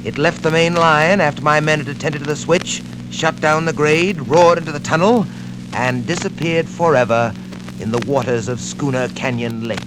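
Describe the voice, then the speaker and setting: An actor in a vintage radio serial playing a smug, scheming crime boss with a deliberate, theatrical delivery, on a noisy old record. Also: male voice, on the high side, close to an everyday voice. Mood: coldly pleased. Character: cold, scheming criminal boss, menacingly suave man. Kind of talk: 1940s radio serial acting.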